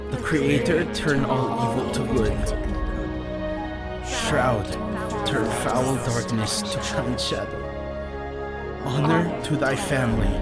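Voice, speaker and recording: Man playing a solemn ninja warrior over loud music. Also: mid-range voice. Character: solemn, grieving male ninja warrior of a fantasy realm. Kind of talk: dramatic fantasy audio drama acting.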